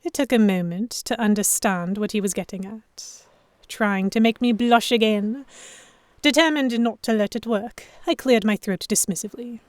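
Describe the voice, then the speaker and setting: A woman playing a proud, sharp-tongued historical heroine who narrates a pirate romance in the first person, wry and expressive. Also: medium pitch, normal speed, no room sound, lightly acted. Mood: reflective narration. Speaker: narrator and lead voice actor.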